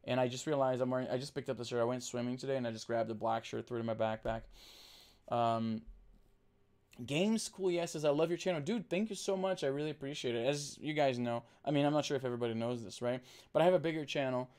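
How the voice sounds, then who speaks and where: A man from Brazil whose first language is Portuguese, chatting casually on a livestream and reading out chat, rambling with restarts and fillers while talking deliberately slower. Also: mid-range voice, darkish tone, clear, resonant tone. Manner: trained to talk slower on camera.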